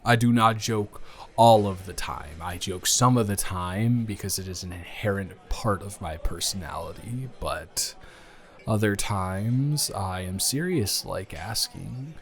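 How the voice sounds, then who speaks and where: A man acts out a smitten young man in an intimate audio roleplay. His voice sits a little low and he takes his time, stammering and wandering through wordy, self-deprecating jokes. He comes across as awkward and jealous beneath the joking. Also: a little slow. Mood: earnest, defensive.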